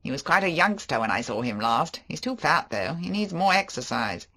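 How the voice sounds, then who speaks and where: A reader voicing a brash, overfamiliar man who talks loudly and jovially in an audiobook. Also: mid-range voice, sing-song, moderate pace, exaggerated voice. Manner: loud, jovial, teasing. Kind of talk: audiobook character voice.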